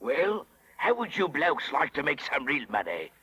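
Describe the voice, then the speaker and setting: A man playing an evil, scheming coachman with a gravelly voice in a 1930s radio drama. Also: a little high, huge pitch swings, measured pace, bright, forceful tone, dull audio, full character voice. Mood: conspiratorial. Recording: old 1930s radio recording.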